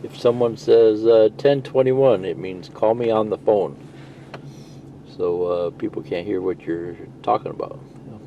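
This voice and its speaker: A man's voice: lowish voice, unhurried, dull audio, slight background noise. A middle-aged man giving a casual, rambling, plain-spoken hands-on walkthrough of a gadget, explaining as he goes. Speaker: CB radio video presenter.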